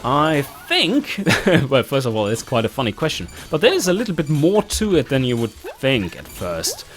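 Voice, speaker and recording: A man with a German accent answers viewer questions, projecting his voice and shaping each word with precise articulation. His intonation moves lively up and down, and soft music plays quietly underneath. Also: medium pitch, moderate pace. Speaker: YouTuber and game developer. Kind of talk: channel Q&A, reading out viewer questions.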